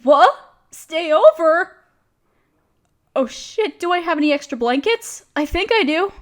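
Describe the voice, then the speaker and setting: High-voiced, apologetic young woman acted by a woman. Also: animated, a little slow. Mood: sheepish, warming. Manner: self-deprecating, hesitant, casual. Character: downcast, apologetic young woman, overworked, confiding in a close friend. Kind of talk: roleplay audio drama, intimate one-sided conversation.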